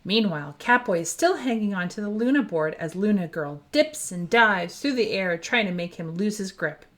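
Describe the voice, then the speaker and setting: A woman reading a storybook aloud for young children in an animated, expressive storytelling style. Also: mid-range voice, normal speed. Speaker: children's book reader.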